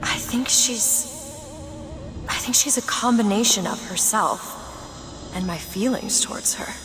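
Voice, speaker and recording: An actress playing an earnest, wounded young woman, once an imprisoned daughter, speaking intensely and haltingly in a video game. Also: medium pitch, lightly acted. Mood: troubled. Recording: loud music underneath.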